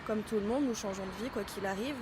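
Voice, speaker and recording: A woman voicing a gentle, mysterious schoolgirl ghost in a French audio drama, speaking softly and evasively. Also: medium pitch, fast, background noise, lightly acted.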